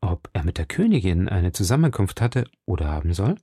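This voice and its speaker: A man's voice: low voice, big pitch swings, brisk, mellow, slightly rough, no echo, clean studio sound, voice pushed down, heavily acted. A man voicing a bold, hot-headed young male swordsman, impulsive and commanding. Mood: musing.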